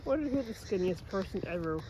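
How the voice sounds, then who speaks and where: A woman chatting casually, often answering in brief, low-key replies. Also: lively intonation, fast, warm tone, a little hiss or hum, low-quality recording. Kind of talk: casual chat with a child while walking.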